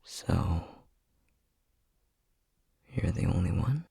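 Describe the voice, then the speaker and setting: A man playing a flustered, tender young boyfriend in an ASMR roleplay, soft-spoken and breathy, hesitating and stammering as he talks. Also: sits low, expressive, extremely slow, no room sound, exaggerated voice. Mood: gentle, sympathetic. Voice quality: breathy, husky. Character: flustered, tender young boyfriend newly discovering magic powers.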